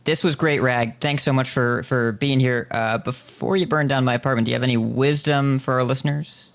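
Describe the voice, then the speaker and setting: Creaky-voiced male podcast host, dryly playful. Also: medium pitch, steady tone, a little fast. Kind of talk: casual podcast hosting.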